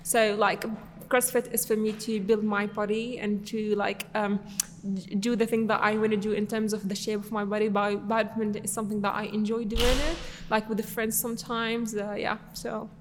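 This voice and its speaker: A woman's voice: medium pitch, level tone, normal speed, few pauses, long reverb tail, a little hiss or hum. A young woman from Syria, a podcast guest, answering casually with many fillers and restarts.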